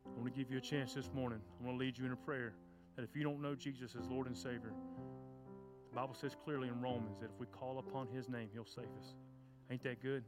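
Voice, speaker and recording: A children's pastor, preaching fervently and then praying, loud and passionate at the peaks. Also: male voice, medium pitch, fairly even intonation, fast. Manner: fervent shouting preacher, stomps and cries. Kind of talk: sermon and closing prayer. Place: church sanctuary. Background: soft guitar music.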